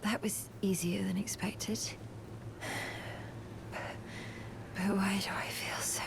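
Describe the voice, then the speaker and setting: A woman playing a gravely ill, guarded young warrior in a video game, speaking in a breathy, halting, formal way. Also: medium pitch, little pitch movement. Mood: resigned. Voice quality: breathy, whispery. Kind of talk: video game character acting.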